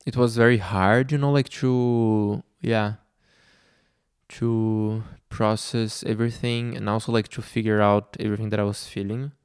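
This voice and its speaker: Soft-spoken man, slow and hesitant. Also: lowish voice, fairly even intonation, clear, resonant tone, dry recording, studio quality. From Brazil. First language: Portuguese. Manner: hesitant, many fillers and restarts. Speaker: vlogger. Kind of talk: reflective personal talk.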